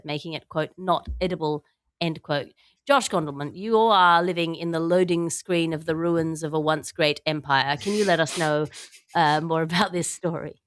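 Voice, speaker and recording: A woman's voice: fairly low pitch, dry recording, clean studio sound. A woman hosting a comedy news podcast, bantering in wordy, mock-formal phrasing.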